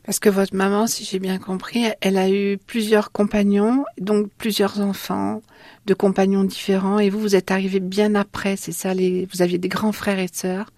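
Gentle woman interviewer. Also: medium pitch, little pitch movement, normal speed, no echo. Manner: gentle, prompting, many fillers. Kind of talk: interview questions.

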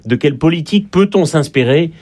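A man from Belgium with a Belgian French accent, a guest author explaining his points in a measured way during a radio interview in a studio. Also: a little high, lively intonation, a little mellow.

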